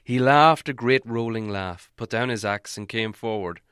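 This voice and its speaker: A man's voice: mid-range voice, moderate pace, fairly bright tone, smooth, dry recording, studio quality. A man reading a fantasy storybook aloud as scripted audiobook narration. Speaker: audiobook reader.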